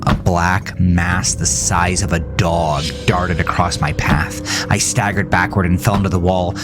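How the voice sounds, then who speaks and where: A man playing a haunted, frightened narrator in a horror audio drama, telling his story slowly and with suspense. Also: deep voice. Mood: uneasy suspense, then tense dread.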